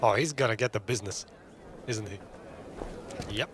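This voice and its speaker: A man's voice: on the low side, moderate pace. A man reacting casually as he plays through a video game. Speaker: gamer commenting on a playthrough. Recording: loud background game noise.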